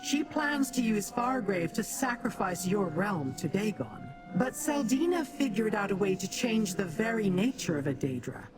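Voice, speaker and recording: A woman's voice: medium pitch, big pitch swings, close to an everyday voice. An actress playing a haughty, calculating demon noblewoman in a fantasy game, speaking formally and condescending to mortals. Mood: calculating, musing. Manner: imperious, formal, measured wording. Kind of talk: fantasy game character acting. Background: game music.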